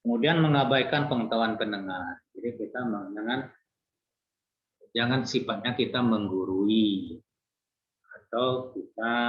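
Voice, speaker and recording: Man lecturing in a roomy, dull recording. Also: lowish voice. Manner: relaxed, conversational explaining with many fillers and restarts. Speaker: nursing lecturer. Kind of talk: online lecture.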